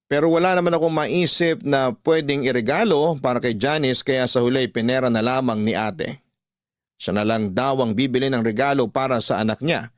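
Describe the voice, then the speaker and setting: A man's voice: mid-range voice, lightly acted. A man narrating a scripted first-person radio story as an uncle recounting his family troubles.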